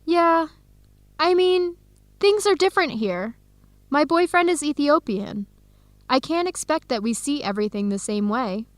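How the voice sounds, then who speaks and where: An actress playing an earnest young Ethiopian-student in a radio drama. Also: female voice, high-pitched, animated, a little slow, dry recording. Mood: explaining, defensive. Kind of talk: radio drama acting, simulated video call.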